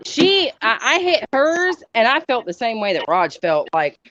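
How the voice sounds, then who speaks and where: Animated high-voiced woman, dry lo-fi sound. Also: a little slow. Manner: animated, laughing storyteller. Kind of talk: casual chat. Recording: dry, dull lo-fi audio.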